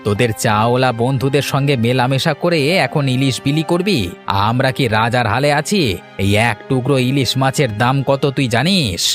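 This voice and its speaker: Stingy village patriarch for a cartoon, played by a man: domineering, scolding, medium pitch, faint music bed. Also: normal speed, natural voice. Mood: irritated, stern. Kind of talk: Bengali cartoon voice acting.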